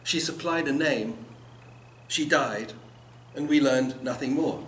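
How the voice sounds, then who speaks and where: Older guy doing a live poetry reading, expressive and dramatic, bit of background noise. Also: medium pitch, slow. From South Africa and England. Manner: expressive, dramatic literary delivery. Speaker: poet. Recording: noisy live event room recording.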